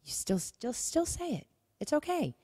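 A woman's voice: high-pitched, big pitch swings, moderate pace, warm tone, dry recording, studio quality. A woman co-hosting a conversational podcast, speaking emphatically and acting out example phrases. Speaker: podcast co-host. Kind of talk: conversational talk on marriage advice.

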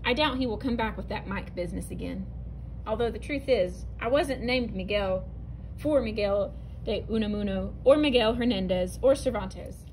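A woman teacher reading a narrative poem aloud from a picture book, clear and expressive, with a few small restarts. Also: medium pitch, normal speed, a little hiss or hum, low-quality recording. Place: indoors, at a table over an open book.

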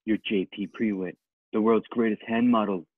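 A man playing a husky, dim male model. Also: on the low side, steady tone, moderate pace, no echo, lightly acted. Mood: awed recognition. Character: dim, earnest young male fashion model. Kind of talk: amateur table read of a comedy film script. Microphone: video call. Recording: muffled tinny video-call line.

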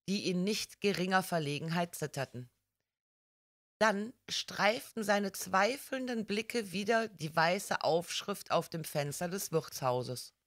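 A woman narrating a story as an audiobook reader, with careful, measured, scripted delivery. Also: medium pitch, dry recording, clean studio sound.

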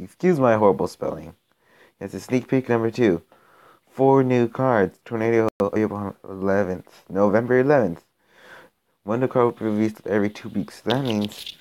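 A young man with a slightly low voice, raspy from a recent illness, talks over his phone gameplay in a loose, rambling way. He trips over his own sentences and grows exasperated when a match goes badly. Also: darkish tone. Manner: rambling, self-interrupting, gets exasperated during play. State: raspy from being sick. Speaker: gaming channel host. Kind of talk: casual gameplay commentary.

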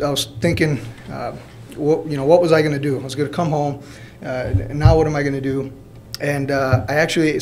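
A man in his thirties from Mexico and Illinois, telling his personal story at a podium in a halting, plain-spoken, informal way. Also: medium pitch, slight background noise. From born in Mexico, grew up in Peoria, Illinois. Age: 30s. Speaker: college alumnus guest speaker. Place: indoor college meeting room. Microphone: podium gooseneck microphone.

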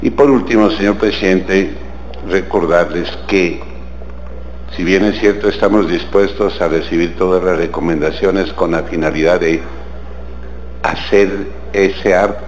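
An older man with a Colombian accent, a senator making courteous, deliberate formal remarks in a committee session. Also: deep voice, steady tone, slight background noise. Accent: Colombian Spanish. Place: large legislative chamber. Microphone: desk gooseneck microphone. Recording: roomy chamber with faint ambience.

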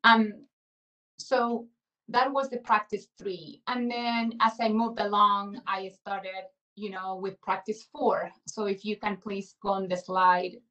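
A woman's voice: mid-range voice, steady tone, takes it slowly, bright, forceful tone. Reflective woman speaking from a distance. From Chile. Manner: reflective, many fillers and restarts. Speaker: guest speaker. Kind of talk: webinar presentation. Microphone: video call.

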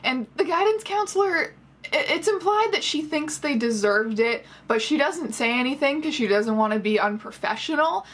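A young woman speaks casually to camera in a small bedroom. Her voice sits fairly high and has a husky edge. She tells things in an animated, sarcastic way, like a vlogger giving a mocking review. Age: 20s. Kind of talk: casual scripted-loose commentary to camera.

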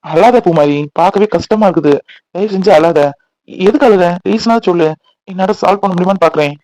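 An actor playing an earnest, persistent young bridegroom in a Tamil serial, talkative and pleading in a boyish way. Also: male voice, high voice, brisk, warm tone, no room sound, close to an everyday voice, poor audio. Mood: concerned. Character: earnest, persistent young bridegroom devoted to his scornful wife.

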